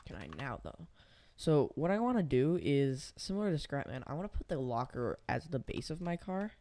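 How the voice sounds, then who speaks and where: A man's voice: a little high, big pitch swings, normal speed, warm tone. A young man casually commenting on his own gameplay, thinking aloud and rambling as he builds. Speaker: gaming channel host.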